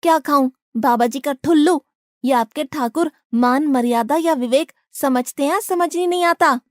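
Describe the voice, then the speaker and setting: A woman voicing a naive, gluttonous, blunt young male disciple in an animated moral story, artless and cheeky. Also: sits high, moderate pace, no echo, studio quality, natural voice. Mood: complaining.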